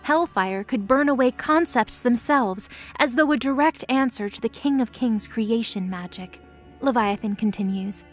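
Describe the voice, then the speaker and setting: Female narrator for fantasy audio drama, higher register, scripted storytelling, music underneath. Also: normal speed.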